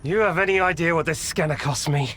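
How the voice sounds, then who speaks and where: A man's voice: a little high, fast talker, a bit bright, slight background noise, natural voice. An actor playing a hot-tempered, domineering man in a video game, brusque and confrontational. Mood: enraged. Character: hot-tempered, foul-mouthed, domineering man in bleak zone. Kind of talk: video game cutscene acting.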